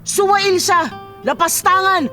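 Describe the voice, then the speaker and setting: High-voiced, bitter Filipino mother. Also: takes it slowly, soft background music, full character voice. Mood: bitter, angry. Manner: sharp-tongued, scornful. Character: bitter, domineering mother scolding her ailing father. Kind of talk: Filipino radio drama acting.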